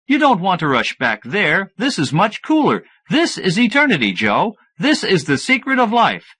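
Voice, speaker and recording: A man voicing an excitable, smug mad scientist who lectures eagerly in an audiobook. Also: sits high, moderate pace, husky, voice pushed up, full character voice. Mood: smug, persuading. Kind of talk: audiobook character dialogue.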